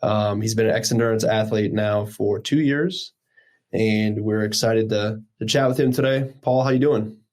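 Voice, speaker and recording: A man's voice: fairly low pitch, steady tone, normal speed, darkish tone. A man hosting a podcast interview, asking loose, friendly questions with fillers. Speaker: podcast host. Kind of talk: casual interview.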